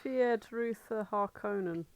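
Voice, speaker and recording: A woman's voice: medium pitch, slow, clean tone, no echo. A woman co-hosting a casual comedic podcast, chatting in an irreverent, sweary, bantering way. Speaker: podcast co-host.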